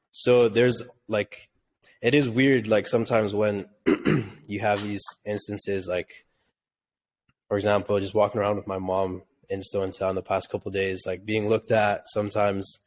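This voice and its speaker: Flat-toned laid-back man. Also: lowish voice. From Tanzania. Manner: relaxed and rambling, heavy use of "like". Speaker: podcast co-host. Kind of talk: casual podcast chat.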